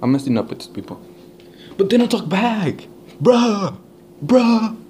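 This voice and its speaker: A man's voice: high voice, sing-song, a little slow, a little mellow. A man chatting casually and jokingly in slang, switching languages, as host of a prank chat. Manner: joking, slangy, switches between English and Spanish. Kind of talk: casual prank chat over a walkie-talkie app. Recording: noisy amateur home recording.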